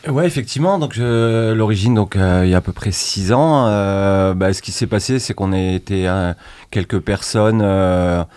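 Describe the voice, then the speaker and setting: A man's voice: fairly low pitch, normal speed, darkish tone, smooth, no room sound. A man from northern France, a volunteer at a migrant aid group, talking hesitantly in a casual radio interview with many fillers and restarts. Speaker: association founder.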